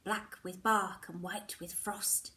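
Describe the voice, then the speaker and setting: A woman teacher reading a novel aloud, expressive and slipping into whispers at tense moments. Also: mid-range voice, slow, far from the mic. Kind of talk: storybook reading.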